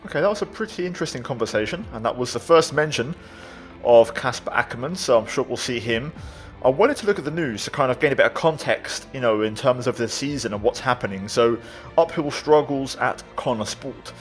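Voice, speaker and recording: A man hosts a gaming video with casual commentary. His voice is mid-pitched. Soft music plays faintly underneath. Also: expressive, brisk. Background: faint game music.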